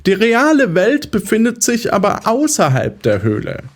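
High-voiced, condescending psychiatrist played by a man. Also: huge pitch swings, moderate pace. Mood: lecturing. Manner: condescending, didactic. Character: patronizing male psychiatrist, smooth, lecturing, controlling. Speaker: voice actor in an audio drama. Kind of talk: German audio drama acting.

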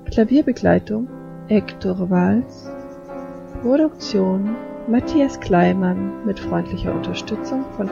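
Woman reading out credits slowly, super croaky fry, muffled sound, piano playing. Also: medium pitch. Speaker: announcer. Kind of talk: scripted credits announcement. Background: piano music.

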